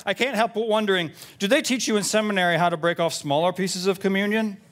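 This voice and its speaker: Male performer as elderly busybody church lady, self-righteous, indignant, gossipy, blunt, comic letter reading, somewhat high pitch. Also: fast, a bit bright. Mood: chatty, prim. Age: seventies. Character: nosy, self-righteous Southern church lady in her seventies. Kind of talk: comic reading of emails aloud.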